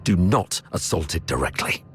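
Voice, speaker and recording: Game role: aged war commander, extremely low register, fatherly authority, dry wit, faint noise behind. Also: expressive, a bit bright. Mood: firm, commanding. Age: older. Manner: measured, authoritative orders and speeches. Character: gruff old veteran military commander, fatherly and dryly wry. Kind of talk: video game cutscene acting.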